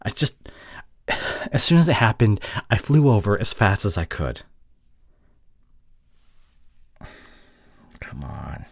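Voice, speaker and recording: Breathy, pleading boyfriend played by a man, swinging pitch. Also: big pitch swings, dry recording, studio quality, exaggerated voice. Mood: stubborn, pleading. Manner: halting, sighing, slipping into breathy murmurs. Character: apologetic, pleading long-distance boyfriend, insecure young man. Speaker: audio roleplay voice actor. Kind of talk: intimate dramatic boyfriend roleplay audio.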